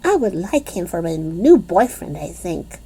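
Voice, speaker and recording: A woman voicing a bossy, excitable little kindergarten girl who talks loudly with childish grammar. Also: lowish voice, measured pace, slightly rough, heavily acted, rough recording. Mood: dreamy.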